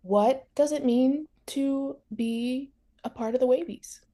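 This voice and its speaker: A woman's voice: sits high, slow, warm tone. A woman interviewing in a casual chat, informal and affirming in the way she talks. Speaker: interviewer, musician.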